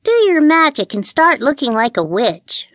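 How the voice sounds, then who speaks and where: A woman voicing an excitable, easily rattled little female cat in a children's storybook. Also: sits high, moderate pace, no echo, pitched above the performer's own voice. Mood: excited. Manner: exclaiming, eager.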